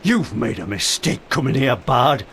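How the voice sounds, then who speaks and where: A man's voice: mid-range voice, big pitch swings, normal speed, a bit bright, a little hiss or hum. An actor playing an arrogant, threatening male villain in a game cutscene who draws out his threats. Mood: menacing. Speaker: villain confronting the hero.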